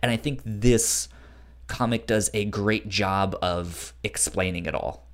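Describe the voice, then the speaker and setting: A man's voice: fairly low pitch, slow pace. A man co-hosting a podcast, discussing a book casually, with a frequent stutter that repeats sounds and syllables. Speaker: podcast co-host.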